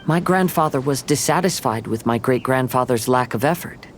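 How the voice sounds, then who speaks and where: A man playing a gentle, reserved young wandering samurai in a video game, with a soft-spoken, formal, reflective manner. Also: mid-range voice, normal speed, slight background noise, close to an everyday voice. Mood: pensive. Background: music.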